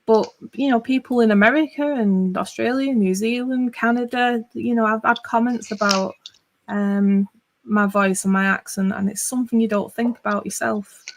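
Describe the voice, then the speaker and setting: A woman's voice: a little high, fairly even intonation, normal speed. A middle-aged woman with a northwest English accent, chatting casually on a livestream and rambling with lots of fillers. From northwest England. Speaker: livestream host. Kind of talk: casual chat.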